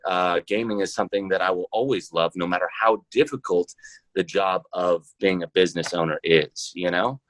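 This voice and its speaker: Deep guy on a podcast interview, dry room, casual, kinda hesitant, thinking out loud. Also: no room sound, rough recording. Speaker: podcast guest, show creator. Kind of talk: casual, reflective interview talk.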